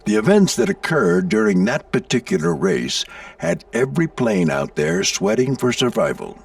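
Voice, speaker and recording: A seasoned military airplane in an animated game, a folksy mentor with natural authority. His voice is gravelly and his pitch moves a lot as he spins his tales. The sound is very dry. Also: normal speed, dry recording, distant mic. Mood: storytelling. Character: seasoned male navy plane, gruff mentor and storyteller. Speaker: commanding instructor recounting past races.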